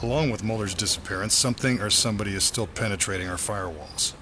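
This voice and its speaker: Stern, wary bureau chief, low and hard-edged, audio drama with faint music beneath. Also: male voice, fast talker, pushed and bright. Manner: grave, guarded. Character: wary, stern senior male FBI official.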